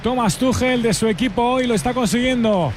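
A man's voice: extremely high pitch, brisk. A man giving projected, urgent live football commentary. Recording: clear stadium noise behind.

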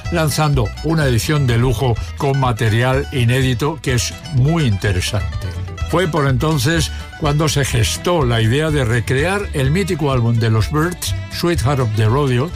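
Man presenting music over guitar. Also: medium pitch. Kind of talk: scripted music radio segment.

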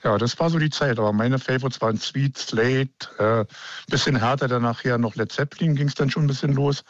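A man's voice: medium pitch, fairly quick, no echo, dull audio. A man, calling in and eagerly chatting about his youth. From Berlin. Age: 60s. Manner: enthusiastic, reminiscing freely. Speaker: caller. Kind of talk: phone-in chat.

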